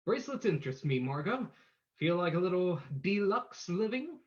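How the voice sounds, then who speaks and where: Dull recording, woman as gentleman sleuth, composed and probing, lowish pitch, very varied intonation, old-time radio drama. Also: sing-song, moderate pace. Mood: curious, suave. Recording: dull, lo-fi sound.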